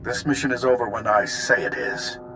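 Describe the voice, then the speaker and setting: A man's voice: medium pitch, moderate pace, noisy background, lightly acted. An actor playing a smug secret-service agent in a video game, sarcastic and condescending in his delivery.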